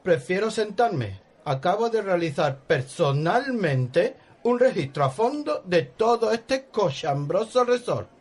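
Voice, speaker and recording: A man's voice: high voice, very animated, unhurried, hardly any pauses, heavily acted. A man playing a pompous, bossy secretary of state in a parody radio soap opera, speaking in emphatic repetitions.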